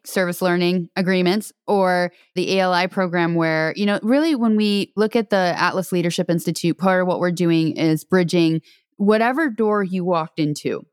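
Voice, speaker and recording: Host, woman, lowish smooth voice, dry close sound, appreciative. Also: no room sound. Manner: warm, appreciative, asks long framed questions. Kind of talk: interview hosting.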